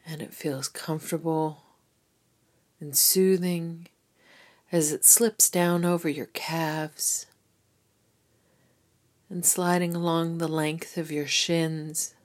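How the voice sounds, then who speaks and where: Lady doing guided meditation, really slow n gentle, lowish voice. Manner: soothing, gentle, unhurried instruction. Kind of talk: guided body scan meditation.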